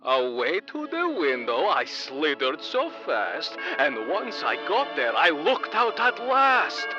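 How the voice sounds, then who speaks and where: High-voiced, doting alien papa. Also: male voice, huge pitch swings, full character voice. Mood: playful storytelling. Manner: theatrical storytelling, halting. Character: doting alien creature papa reciting a holiday poem. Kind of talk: comedic audio drama acting. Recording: dull muffled sound under music.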